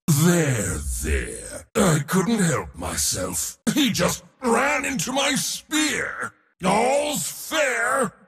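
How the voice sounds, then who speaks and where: A cocky god of war game voice, slow and booming, gravelly edge, big hall reverb, loves battle. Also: male voice, wildly swooping pitch, fairly bright tone, full character voice. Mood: smug mockery. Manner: booming, declamatory, gloating, short battle cries. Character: bombastic, arrogant male god of war relishing battle. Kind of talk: theatrical video game character voice lines.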